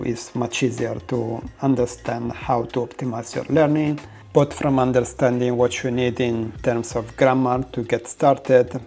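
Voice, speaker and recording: A man's voice: mid-range voice, dark tone. Man, Italian accent, casual vlog talk, hesitant fillers, faint music behind. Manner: frequent "uh" fillers, non-native phrasing, unhurried. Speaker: language-learning vlogger.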